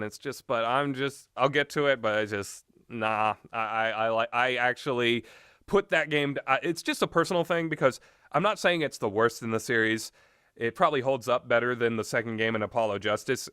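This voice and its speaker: A man's voice: medium pitch, sharp tone, no room sound, studio quality. A man giving casual, unscripted game commentary, opinionated and mildly profane, often backtracking on himself as he goes. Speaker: video game reviewer.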